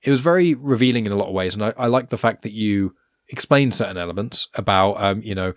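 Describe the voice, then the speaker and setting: A man's voice: fairly low pitch, quick pace, dry recording, clean studio sound. A man hosting a podcast, chatting in a casual, enthusiastic way with frequent restarts. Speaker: podcast host. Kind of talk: casual chat.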